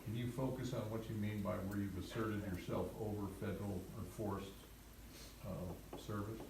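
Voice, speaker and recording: A man's voice: on the low side, fairly even intonation, moderate pace, reverberant, recorded from a distance, noisy background. A man in the audience of a community meeting, asking skeptical, wry questions.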